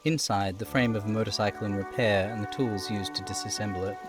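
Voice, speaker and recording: Low-voiced man narrating a game live. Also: fairly even intonation, fast, loud music behind the voice. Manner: reads prose descriptively, mutters casual asides. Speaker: streamer.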